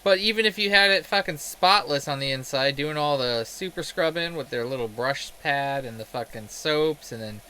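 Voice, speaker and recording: A man's voice: a little high, normal speed, hardly any pauses, pushed and bright, smooth. A man in a casual, rambling game commentary, sweary, self-deprecating and sarcastic. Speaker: game streamer telling stories while playing.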